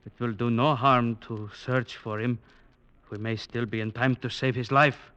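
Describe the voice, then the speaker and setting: A man playing a matter-of-fact village magistrate, speaking formally and deliberately in an old radio drama. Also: medium pitch, steady tone, fairly bright tone, a little hiss or hum, lightly acted. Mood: sober, grave. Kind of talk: 1940s-50s radio drama acting.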